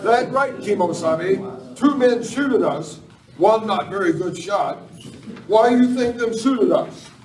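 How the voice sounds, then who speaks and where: A man's voice: high-pitched, lively intonation, unhurried, darkish tone. A man playing a stolid, loyal tracker sidekick in an amateur radio western, speaking in clipped broken English. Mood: wary. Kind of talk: amateur live radio play acting. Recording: roomy, distant live recording, faint noise.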